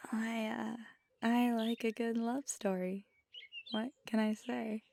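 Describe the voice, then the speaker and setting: A woman playing a feisty, affectionate magical girlfriend in an ASMR roleplay, talking casually and often dropping to a breathy murmur. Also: a little high, a little mellow, exaggerated voice. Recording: clear background noise with birdsong. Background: birdsong and outdoor ambience.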